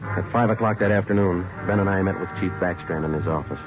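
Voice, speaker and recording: A man's voice: sits low, fast talker, music in the background, natural voice. An actor playing a terse, unyielding police detective in a 1950s radio drama, matter-of-fact as he works his case. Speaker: narrator and lead detective. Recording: muffled old radio broadcast.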